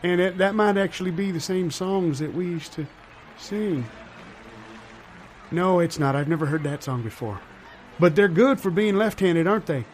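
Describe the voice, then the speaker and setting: A man's voice: high voice, normal speed, a little hiss or hum, natural voice. A man playing a chatty, nostalgic older commentator in improvised comedy, musing folksily and contradicting himself. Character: nostalgic, chatty elderly male parade commentator.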